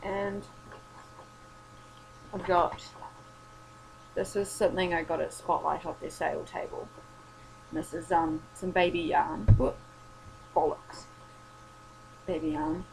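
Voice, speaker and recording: A woman's voice: lowish voice, fairly even intonation, slow, vocal fry, pauses often, a little mellow, slight background noise, poor audio. Tired, rambling, sweary young woman. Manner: rambling, sweary, self-deprecating. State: tired, losing her voice. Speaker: vlogger. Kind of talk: casual late-night chat.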